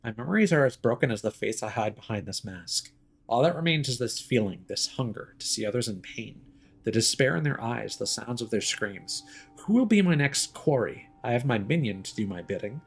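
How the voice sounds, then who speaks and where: A man's voice: medium pitch, animated, brisk, creaky, husky. A man reading the written musings of a cruel masked villain in a dramatic manner, over background noise. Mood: brooding menace. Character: cruel masked villain with lost memory, read from a note.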